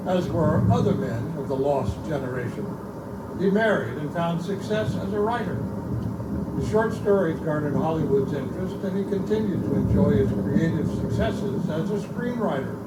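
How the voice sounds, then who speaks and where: A man's voice: on the high side, lively intonation, normal speed. A man giving scripted, dramatic narration to introduce a story at a live reading. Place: live event in a bookstore. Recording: echoey room, distant mic, loud hum.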